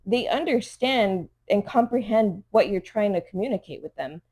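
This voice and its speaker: A woman from Los Angeles co-hosting a parenting podcast. She talks in a mid-pitched voice, earnest and conversational. Her speech is sprinkled with "like" and "you know.". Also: expressive, moderate pace, warm tone. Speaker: podcast co-host, mother. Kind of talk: casual chat.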